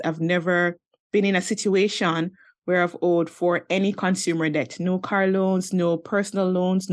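A woman, a podcast guest and finance coach who tells her story in an earnest, flowing way and laughs easily. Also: lowish voice. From Jamaica. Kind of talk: podcast interview, personal storytelling.

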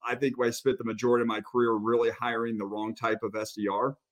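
A man's voice: medium pitch, little pitch movement, fast. A man hosting a podcast, laying out his views in a thoughtful, conversational way. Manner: thoughtful, lays out opinions at length. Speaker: podcast host. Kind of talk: conversational interview.

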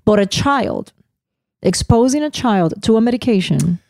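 A woman from Puerto Rico co-hosting a casual chat podcast, impassioned and talking in rapid bursts. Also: fairly high pitch, animated, creaky, a little mellow, no room sound, studio quality. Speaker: podcast co-host.

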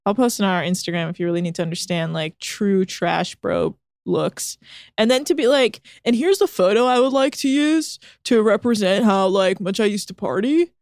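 A woman's voice: mid-range voice, moderate pace, a little mellow, no room sound, studio quality. A woman co-hosting a podcast, recapping an episode in casual, sarcastic chat and swearing freely. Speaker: podcast co-host.

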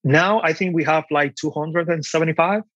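A man's voice: a little high, a little fast, fairly bright tone, no room sound, muffled recording. A young man from the Dominican Republic with a Dominican Spanish accent, telling his story casually as an interview guest. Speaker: podcast guest, online seller.

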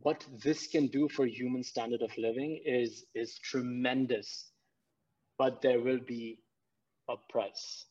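A man's voice: medium pitch, steady tone, slow pace, darkish tone, muffled recording. A man from Pakistan, a guest in a casual conversation, talking earnestly and often repeating words as he gathers his thoughts. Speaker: podcast guest.

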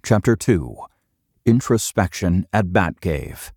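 A man's voice: sits low, very animated, takes it slowly, gravelly, a little mellow, a little hiss or hum. A man reading scripted third-person narration for an audio drama.

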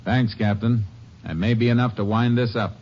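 An actor playing a calm, firm lawman with a dry wit, who also narrates in a 1950s radio drama. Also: lowish voice, moderate pace, natural voice. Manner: calm, firm, dryly sardonic. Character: steady, dry-witted male Texas Ranger. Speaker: narrator and lead character. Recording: muffled old radio broadcast.

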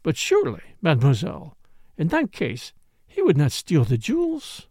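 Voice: mid-range voice, huge pitch swings, normal speed, darkish tone, no echo, clean studio sound. A reader voicing a suave, shrewd French count in an audiobook story, languid, polite and ironic. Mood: cool, probing.

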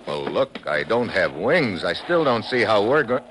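An actor playing a hard-boiled, wisecracking American government agent in a 1950s radio drama, wry and slangy. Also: male voice, fairly low pitch, very animated, measured pace, fairly bright tone, slight background noise. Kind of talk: 1950s radio acting, hard-boiled first-person narration. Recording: vintage 1950s radio recording.

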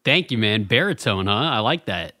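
A man with a baritone radio voice, chatting casually as a podcast co-host. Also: sing-song, moderate pace, pushed and bright. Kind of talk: casual chat.

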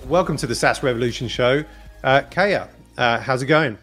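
A man's voice: mid-range voice, normal speed, fairly bright tone, soft background music. A man hosting a business podcast, asking questions hesitantly with many fillers and repeated words. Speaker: podcast host. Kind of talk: casual interview questions.

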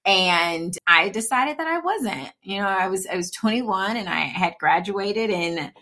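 A woman's voice: medium pitch, expressive, measured pace, bright, forceful tone. A woman in her thirties, a guest on an interview podcast, talking casually and saying "you know" often. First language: English. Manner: chatty, peppers speech with "you know". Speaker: podcast guest, supply chain consultant.

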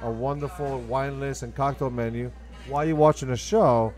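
A man's voice: medium pitch, steady tone, normal speed. A man from Argentina with an Argentine accent and Spanish as his first language, speaking emphatically and enthusiastically as an interview guest. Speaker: guest, restaurant owner. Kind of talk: conversational interview.

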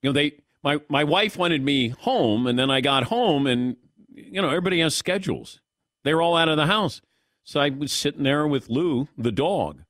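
A middle-aged man hosting a sports talk radio show, chatting with callers and crew in a relaxed, self-deprecating way. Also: on the high side, moderate pace, no echo, studio quality.

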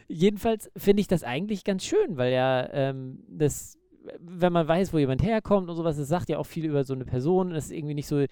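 Man, gravelly voice, slightly high, casual joking podcast chat. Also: warm tone, no room sound, studio quality. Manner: loose, joking banter. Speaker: podcast co-host.

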